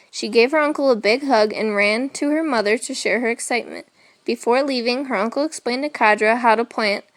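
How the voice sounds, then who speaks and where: A woman's voice: fairly high pitch, dry recording. A woman reading a children's story aloud as narration. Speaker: storybook reader.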